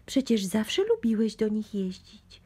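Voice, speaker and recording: Woman as a husky, soothing mouse parent. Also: mid-range voice, big pitch swings, a little slow, mellow. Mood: reassuring. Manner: soothing, explaining to a child. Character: calm, reassuring adult parent mouse, gently firm. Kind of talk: children's storybook read-aloud.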